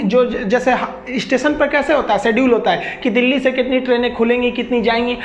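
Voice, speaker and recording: High-voiced Bihari woman teaching, echoey recording. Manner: colloquial, repeats and rephrases with everyday examples. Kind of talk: informal classroom-style explainer lecture. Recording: echoey, dull lo-fi audio.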